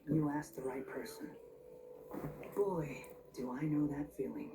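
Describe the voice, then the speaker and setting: A woman's voice: low-pitched, unhurried, warm tone. A woman voicing a blunt, brooding young loner in a video game, terse and dry but grudgingly helpful. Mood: grave, resolute. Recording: distant, noisy captured game audio.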